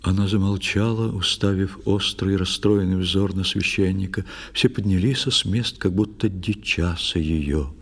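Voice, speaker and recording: A man narrating a verse tale in a dramatic, measured, theatrical way. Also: low-pitched, gravelly, husky.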